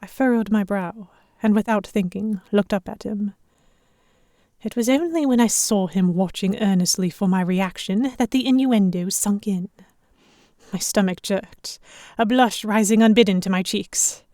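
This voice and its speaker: A sharp-tongued young lady played by a woman tells her own tale in a historical pirate audio drama. Her narration is expressive and introspective, at a medium pitch. The sound is dry and intimate, with no room on it. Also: measured pace, no room sound, natural voice. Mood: flustered. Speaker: narrator and lead character.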